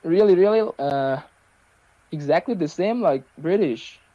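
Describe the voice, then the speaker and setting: A man who speaks Persian natively, talking haltingly over a video call and filling his sentences with hesitations. His voice is mellow and sits a bit high. The sound is dry and muffled, like cheap call audio. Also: lively intonation, dry recording. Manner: halting, many fillers and restarts. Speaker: English learner. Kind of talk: conversation practice on a video call.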